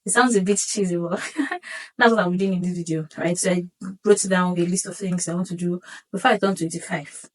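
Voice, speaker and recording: A woman's voice: lowish voice, steady tone, a little fast, rough recording. Chatty young woman vlogging, seated. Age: 20s. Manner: chatty, rambling, with self-corrections and light laughs. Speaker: vlog host. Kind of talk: casual solo vlog monologue. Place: seated indoors.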